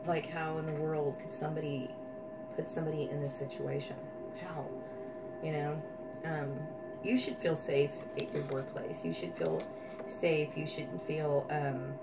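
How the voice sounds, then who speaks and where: A middle-aged woman with a low, gravelly, raspy voice gives a rambling personal monologue. Her delivery is weary and halting, with some words drawn out. She sounds a little way off from the microphone. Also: flat delivery. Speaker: vlogger. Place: at home. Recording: distant mic with music behind. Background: music.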